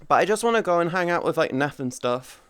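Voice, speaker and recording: A man's voice: fairly high pitch, animated, fast talker, a bit bright, clean tone, no room sound. A man in his thirties streaming, rambling in casual chat with his viewers, swearing freely and greeting chatters by name. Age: 30s.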